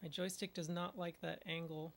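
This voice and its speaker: Gravelly-voiced speedrunner muttering at the game. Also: mid-range voice, flat delivery, moderate pace, fairly bright tone, a little hiss or hum. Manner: mutters at the game, uses mild minced oaths. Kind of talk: casual gameplay commentary.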